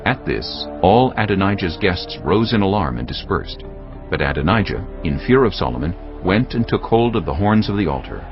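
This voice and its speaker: A male narrator with a low, deep voice reads scripture as drama, his intonation moving widely. Music plays clearly behind him and the recording is somewhat dull. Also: sing-song, normal speed. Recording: dull lo-fi audio, music bed.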